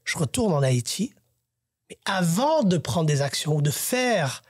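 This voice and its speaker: A man's voice: fairly high pitch, sing-song, a little slow, husky, dry recording. A man, host of a podcast, talking earnestly in a casual panel discussion and thinking aloud as he goes. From Haitian family, raised in Canada.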